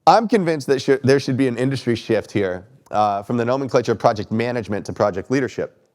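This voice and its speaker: A man's voice: mid-range voice, animated, fast talker, a little mellow. Texan man, 30s, keynote speaker, a bit stuffy, joking, chatty, asks the crowd things. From Texas. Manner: conversational, self-deprecating, asks audience questions. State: stuffy from allergies. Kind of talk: conference talk. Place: stage in a lecture hall.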